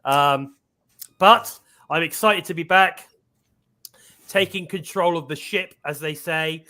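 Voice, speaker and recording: A man's voice: on the high side, sharp tone. Upbeat man with a cough. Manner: upbeat, chatty, drawn-out fillers. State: lingering cough. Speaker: podcast host. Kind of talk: casual live-stream chat.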